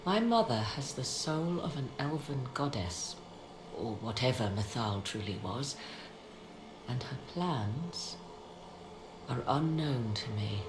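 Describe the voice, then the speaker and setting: A woman voicing a proud, guarded sorceress in a video game, with formal, deliberate, theatrical phrasing. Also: rumbling low, lively intonation, full character voice. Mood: grave, troubled. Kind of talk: dramatic video game dialogue. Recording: echoey, noisy game scene capture.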